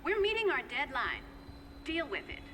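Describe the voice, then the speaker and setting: An actress playing a stubborn, overworked research scientist who speaks firmly and dismissively. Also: female voice, high voice, sing-song, normal speed, noisy background. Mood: irritated, firm. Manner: firm, dismissive. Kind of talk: acted video game dialogue.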